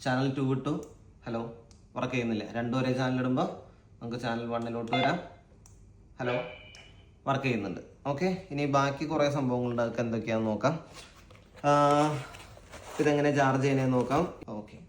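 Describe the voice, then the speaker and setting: A man's voice: mid-range voice, fairly even intonation, a little fast, long pauses. A Malayali man hosting a casual unboxing vlog, informal and a little hesitant as he thinks aloud. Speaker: vlog host. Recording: echoey room with faint background noise.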